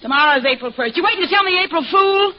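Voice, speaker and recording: An actress playing a scheming, hard-boiled mistress in an old-time radio crime drama, insistent, domineering and coolly manipulative. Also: female voice, very high voice, lively intonation, fast. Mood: threatening, impatient. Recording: dull, noisy vintage radio recording.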